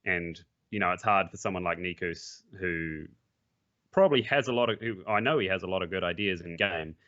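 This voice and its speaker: Low-voiced gamer man on a muffled mic. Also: brisk. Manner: relaxed and blunt, with frequent restarts and the odd swear. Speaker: pro esports player guest. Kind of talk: casual podcast interview.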